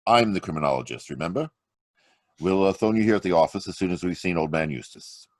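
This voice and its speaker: A man playing a calm, commanding criminologist hero in an old-time radio drama read over a video call. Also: sits low, fast talker, dry recording, studio quality. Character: cool confident male criminologist hero.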